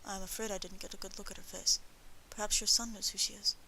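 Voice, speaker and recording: A woman reads the part of a wandering samurai man in an audiobook. He is wry and polite, speaking with dry understatement in a bright tone over faint hiss. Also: medium pitch, level tone, fast talker, rough recording. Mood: guarded, courteous. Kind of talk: audiobook character voice.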